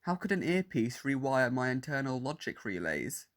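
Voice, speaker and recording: A man's voice: mid-range voice, very animated, normal speed, natural voice. A man playing a cold, hostile cyborg warrior who despises humanity, speaking in stiff, formal declarations in a fan audio drama. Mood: cold, defiant.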